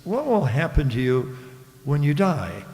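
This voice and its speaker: An actor playing a glib, wry captive broadcaster in a satirical radio play, talking in a dry, leading manner. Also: male voice, fairly high pitch, big pitch swings, a little slow, darkish tone, soft background music. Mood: slyly reasoning. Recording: hall-like stage reverb.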